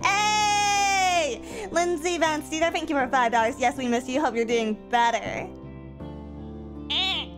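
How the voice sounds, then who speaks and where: High-voiced woman streamer with a stuffy cold, casual chat with bursts of yelling, clear game music under her. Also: slow. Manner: swings from mumbling to loud excited yelling.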